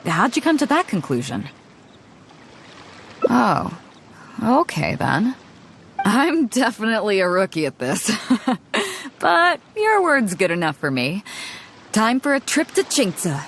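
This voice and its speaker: A woman's voice: medium pitch, sing-song, slow, long pauses, a bit bright, slight background noise, heavily acted. A woman voicing a confident female ship captain who talks in a breezy, commanding way. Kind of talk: game voice acting. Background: game music.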